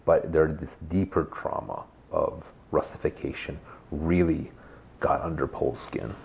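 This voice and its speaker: A man's voice: very deep voice, unhurried, vocal fry, darkish tone, slight background noise. A man, a guest author, explaining history in a thoughtful conversational way with frequent restarts. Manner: thoughtful, many restarts and hedges.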